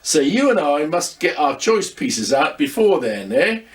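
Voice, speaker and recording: A blustering, gruff pub landlord talks in a sly, conspiratorial way, as a man puts him on. The voice rises and falls a lot and sits a little back from the mic. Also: on the high side, big pitch swings, measured pace, recorded from a distance, pitched above the performer's own voice.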